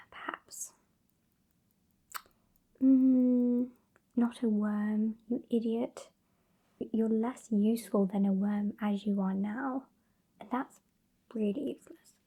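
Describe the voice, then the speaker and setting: A woman's voice: on the high side, steady tone, slow, pauses often, exaggerated voice. Woman playing a whispering, sassy British vampire lady. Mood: exasperated scorn. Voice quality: whispery, breathy. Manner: condescending, exasperated, softly scolding. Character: sassy, haughty old female vampire scolding her fledgling. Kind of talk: whispered ASMR roleplay.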